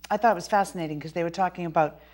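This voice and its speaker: A middle-aged woman with a Boston accent, hosting a local talk show interview, chatty and quick-talking with many restarts. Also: lowish voice, darkish tone.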